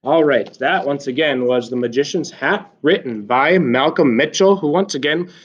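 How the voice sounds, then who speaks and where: A man reading a children's story aloud like a teacher, with expressive, deliberate emphasis. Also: medium pitch, husky. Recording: slightly dull, lo-fi sound.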